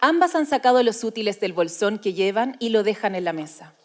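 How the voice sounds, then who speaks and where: A woman's voice: medium pitch, expressive, brisk, darkish tone. A Chilean woman presenting informally as co-host of a live event. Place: live event before a seated audience.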